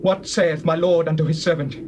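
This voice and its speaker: An actor playing an earnest Israelite military leader in a scripture drama, declaiming formally with a projected voice. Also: male voice, a little high, steady tone, moderate pace, close to an everyday voice. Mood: earnest questioning. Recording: noisy old cassette.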